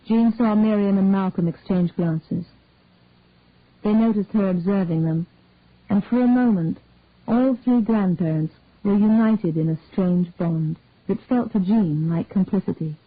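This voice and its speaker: A woman calmly narrating a detective story in a measured reading voice. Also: medium pitch, dry recording. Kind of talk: audiobook-style story reading. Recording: dry, tinny phone-like sound.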